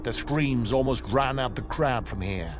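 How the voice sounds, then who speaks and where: An actor playing a cynical, resigned prisoner in a video game, wry and matter-of-fact. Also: male voice, medium pitch, unhurried, music in the background, lightly acted. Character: cynical, resigned seasoned male prisoner in a cage. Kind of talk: dramatic video game acting.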